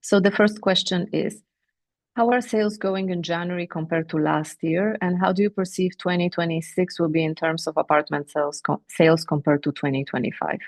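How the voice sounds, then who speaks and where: A woman with a Romanian accent presenting company sales figures on an earnings call, measured and pausing often with fillers. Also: fairly low pitch, fairly even intonation, a little mellow, dry recording. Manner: measured, with frequent filler pauses. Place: remote teleconference.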